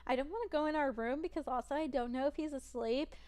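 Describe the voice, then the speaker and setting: A young woman streaming and chatting casually, rambling and giggling, with a naturally soft voice that is tired from long streaming. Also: high voice, fast. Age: young adult.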